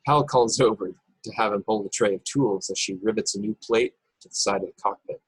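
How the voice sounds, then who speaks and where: Low, husky male narrator, very dry sound. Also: fairly quick, no echo, low-quality recording. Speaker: narrator reading stage directions. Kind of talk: scripted narration in a live table read. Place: remote video call, dry sound.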